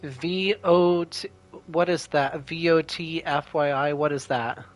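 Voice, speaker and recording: A man's voice: fairly high pitch, steady tone, takes it slowly. A man chatting casually and in a laid-back way as he plays and comments on a video game. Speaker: gamer commenting on gameplay. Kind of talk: casual gameplay chat.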